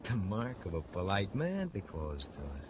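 Slick, swooping American radio hoodlum. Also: male voice, low voice, huge pitch swings, measured pace, heavily acted. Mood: sly, taunting. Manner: florid, theatrical, drawling repetitions, needling chuckles. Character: slick, mocking hoodlum turned respectable businessman, smugly false-friendly. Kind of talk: old American radio crime drama acting. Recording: noisy vintage radio broadcast.

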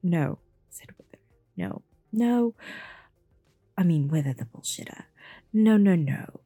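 A woman voicing a smooth, evasive older male official who talks slowly and overly politely. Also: fairly low pitch, expressive, mellow, no echo, studio quality, soft background music, full character voice. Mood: placating.

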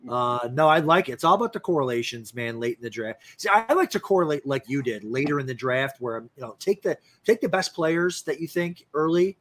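A man's voice: mid-range voice, a bit bright. A man co-hosting a fantasy sports show in casual, informal chat, often repeating words as he goes. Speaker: fantasy football show co-host.

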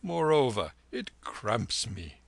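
A man voicing a gentle, otherworldly old lama who speaks slowly and mildly. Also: lowish voice, sing-song, vocal fry, no room sound, exaggerated voice. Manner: slow, mild.

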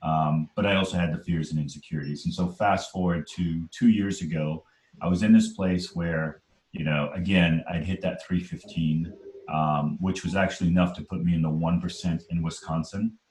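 A man's voice: deep voice, fairly even intonation, moderate pace, darkish tone, reverberant. A man in his forties from Trinidad, telling his life story as an interview guest in an earnest, emphatic way and often checking in with "right?". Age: 40s. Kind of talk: conversational storytelling.

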